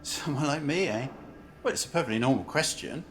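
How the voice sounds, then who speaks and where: A man's voice: a little high, lively intonation, brisk, a bit bright, faint music behind. A man playing a brusque, chatty city banker in an amateur radio play, grumbling and asking blunt questions. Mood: probing, teasing.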